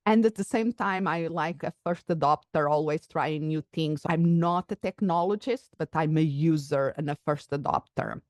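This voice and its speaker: A woman's voice: deep voice, moderate pace, hardly any pauses, dry recording. A woman from Portugal with a Portuguese accent, a psychologist and coach explaining her views in a thoughtful conversational interview, with occasional restarts.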